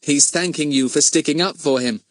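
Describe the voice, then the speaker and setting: A man's voice: on the high side, normal speed, no room sound, voice pushed up, natural voice. A man voicing a confident, earnest teenage boy detective in an audiobook, well-spoken, polite and persuasive with a cheeky streak. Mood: grateful. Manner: well-spoken, persuasive, eager schoolboy phrasing.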